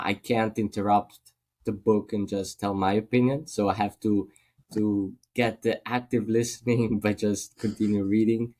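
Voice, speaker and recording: A man's voice: on the low side, darkish tone. A man from Italy with an Italian accent, a guest in a casual podcast interview, speaking thoughtfully with many fillers.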